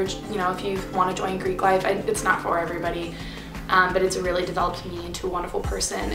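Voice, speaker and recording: A young woman in her twenties chatting casually to camera as a vlogger, bubbly and rambling with fillers, sitting in her bed at home. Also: mid-range voice. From Pennsylvania, USA. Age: 20s. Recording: roomy echo with music behind. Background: music.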